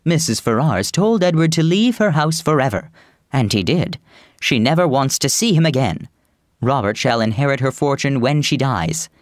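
A stiff, self-important gentleman, put on by a woman reader, speaks in a deep, lowered voice with a grave, pompous air. His pitch swings widely as he lectures. The reading is dry and close, with faint music underneath. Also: expressive, normal speed, no echo.

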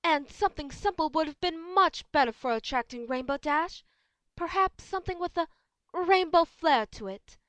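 High-voiced, genteel, theatrical lady unicorn played by a woman. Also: lively intonation, normal speed, a bit bright, no room sound. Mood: affectionate, haughty. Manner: genteel, dramatic. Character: refined, theatrical, doting female unicorn pony. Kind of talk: amateur audio drama acting.

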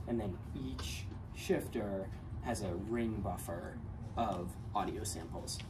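A young man casually explaining his student engineering project step by step, with relaxed fillers, in a university lab. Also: fairly low pitch, background noise. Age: young adult. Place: large university electronics lab, indoors. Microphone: phone camera across the room. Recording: distant mic in a lab. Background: guitar sounds.

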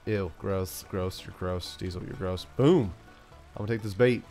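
A man hosting a gaming channel, chatting playfully through a let's-play, stuffed up from a cold and now and then yelling out excited bursts. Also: sits low, moderate pace, soft background music. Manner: playful, talks to himself, yells bursts like "send it". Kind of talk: casual let's-play commentary.

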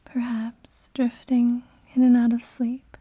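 Woman doing a tender asmr mommy, soft breathy whispery voice, super mellow, soothing. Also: fairly high pitch, monotone, no echo, dull audio. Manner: slow, gentle, soothing. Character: tender doting mother soothing her sleepless loved one. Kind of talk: soft-spoken ASMR roleplay.

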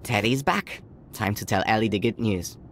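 An actor playing a dutiful, gentle village boy in a video game, speaking in an earnest storybook manner. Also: fairly low pitch, moderate pace, over loud music, close to an everyday voice. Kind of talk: scripted video game narration and dialogue.

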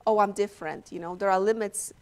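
A woman speaking as a professor on a panel, thoughtful and measured, pausing often. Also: mid-range voice, steady tone, darkish tone. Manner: measured, thoughtful, slow with pauses. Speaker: panelist, political science professor. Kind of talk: panel discussion answer. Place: stage at a law school panel table.

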